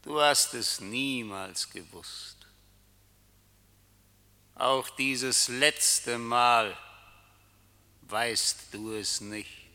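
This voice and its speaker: Slow, forceful, menacing tempter in reverb. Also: male voice, mid-range voice, heavily acted. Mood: taunting, insistent. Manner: rhetorical, slow, deliberate, insistent questioning. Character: menacing, seductive male tempter who taunts his victims. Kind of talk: theatrical German radio drama acting. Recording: long reverberant hall sound.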